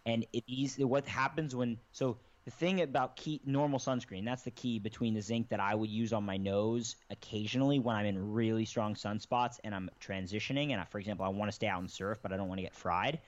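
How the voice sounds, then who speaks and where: A man's voice: on the low side, fairly even intonation, fairly quick, few pauses. A man explaining things in a rambling, casual way as a podcast guest, often correcting himself mid-sentence. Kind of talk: casual conversation. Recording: slightly dull lo-fi sound.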